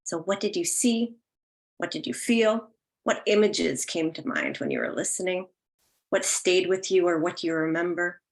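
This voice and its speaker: A woman hosts an online listening session in a fairly low voice. She talks informally, inviting responses from the people taking part. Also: normal speed. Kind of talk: announcing and casual event hosting.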